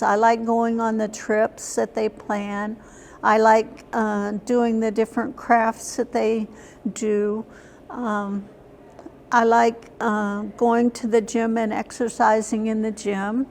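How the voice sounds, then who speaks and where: An older woman giving plain, matter-of-fact conversational answers as an interview guest, sometimes halting. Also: a little high, a little mellow, slight background noise. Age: older, senior. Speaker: interview guest, senior center member.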